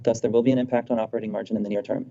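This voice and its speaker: A man's voice: on the low side, flat delivery, rapid-fire, mellow, a little hiss or hum. A male executive fluently and formally reading a scripted statement on an earnings call. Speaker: company executive. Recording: dull muffled conference call audio.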